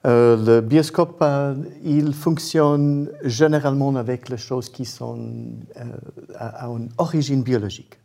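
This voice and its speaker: A man with a foreign accent, a non-native speaker giving careful, halting explanations as an interview guest and searching for his words. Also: medium pitch, dark tone. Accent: foreign accent in French. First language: not French. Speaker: guest, independent researcher and inventor.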